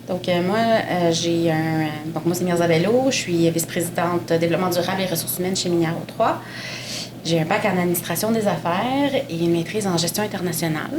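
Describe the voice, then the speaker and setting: A woman's voice: fairly low pitch, a little fast. A woman in her forties, a Portuguese speaker, telling her career story as a panel guest with many fillers and restarts. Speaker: panel guest, mining executive. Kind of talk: conversational interview. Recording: echoey room with background noise.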